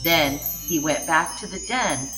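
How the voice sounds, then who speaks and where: A woman reading a children's picture book aloud in a gentle, expressive way. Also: sits low, measured pace, music in the background, low-quality recording. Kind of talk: storybook read-aloud.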